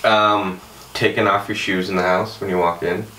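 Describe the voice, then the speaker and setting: A man chatting casually as a guest on a panel, telling animated stories and breaking into high-pitched laughs. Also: moderate pace. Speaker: guest answering questions. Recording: roomy, distant mic, faint noise.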